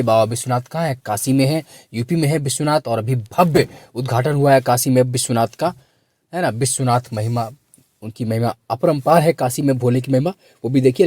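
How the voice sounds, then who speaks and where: A man with a hoarse, sore-throated voice teaching a quiz lesson for exam students, repeating questions and prompting listeners to answer. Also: mid-range voice, darkish tone, dry recording.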